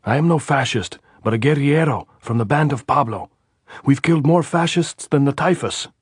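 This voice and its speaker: A man voicing a quick-witted, nervy young Spanish guerrilla who shouts his answers and cracks sardonic jokes. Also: fairly low pitch, fairly even intonation, slightly rough, dry recording, clean studio sound. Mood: shouting, wry.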